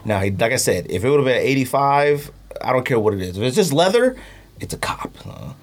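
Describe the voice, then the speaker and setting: A man's voice: medium pitch, very animated, a little fast. A man hosting a casual podcast, talking in slang and telling stories with acted-out quotes. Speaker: podcast host. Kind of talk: casual chat.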